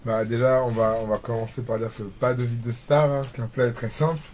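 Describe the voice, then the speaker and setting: A man's voice: medium pitch, steady tone, brisk, a little mellow, a little hiss or hum. A man in his twenties from Cameroon, a rapper talking about his start in music in a casual interview, rambling with many restarts and fillers. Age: 20s. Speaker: rapper guest.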